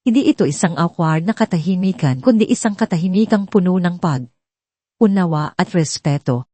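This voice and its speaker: A woman's voice: lowish voice, animated, normal speed, dark tone, no room sound. A woman narrating a scripted dramatic story.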